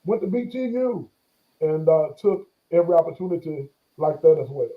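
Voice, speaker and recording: This is a pastor in his forties speaking as a guest in an interview. His voice sits fairly high and comes through a thin, tinny phone line. He talks in a hesitant, reflective way, often restarting and sometimes stammering. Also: lively intonation, moderate pace. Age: 40s. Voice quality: occasional stammer. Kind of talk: conversational interview.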